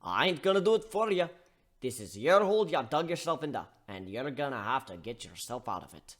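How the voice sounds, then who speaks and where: A man's voice: mid-range voice, big pitch swings, a little fast, fairly bright tone. Man putting on a blunt, scolding barkeep. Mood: firm, advising. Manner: blunt, colloquial, lecturing with folksy slang. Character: gruff scolding barkeep, blunt tough-love older male mentor. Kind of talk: dramatic story reading.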